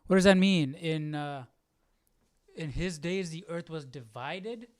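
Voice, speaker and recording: A man's voice: on the high side, slow pace, no room sound, clean studio sound. A man chatting casually as a live study host, thinking aloud in an informal, tentative way.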